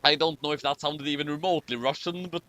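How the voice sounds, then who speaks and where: A man's voice: fairly high pitch, steady tone, quick pace, bright, forceful tone, dry recording. A man reading a story aloud for comedy, with casual commentary in between. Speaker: reader and commentator. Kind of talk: comic dramatic reading with casual chat.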